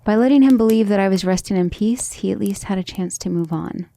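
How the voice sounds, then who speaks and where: Woman playing a breathy, creaky-voiced tender vampire lady. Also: medium pitch, fairly quick, warm tone, lightly acted. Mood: adoring, wistful. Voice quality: breathy, often dropping into whisper. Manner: affectionate, refined, hesitant musing. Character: centuries-old vampire woman, tender, proud, devoted lover. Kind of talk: ASMR roleplay, intimate and slow.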